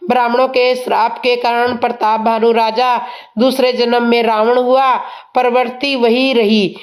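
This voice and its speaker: A woman tells a devotional story at an unhurried pace, humble and earnest. Her throat is sore, so the voice sounds hoarse. Her pitch sits a little high but barely moves, keeping the telling even and steady. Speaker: storyteller of religious tales.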